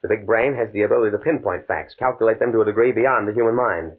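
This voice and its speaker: A man's voice: lowish voice, fast, close to an everyday voice. A man playing a determined, fatalistic professor in a 1950s radio drama, speaking in a grave, precise way. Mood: measured, intent. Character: desperate, determined male professor, coolly fatalistic scientist. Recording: muffled, band-limited old radio recording.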